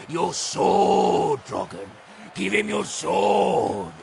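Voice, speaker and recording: Shrill, gravelly savage warlord. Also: male voice, a little hiss or hum, full character voice. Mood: savage glee. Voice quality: gravelly, strained rasp. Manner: taunting, commanding. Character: savage, scheming warlord with a cruel, booming voice. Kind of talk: audio drama acting.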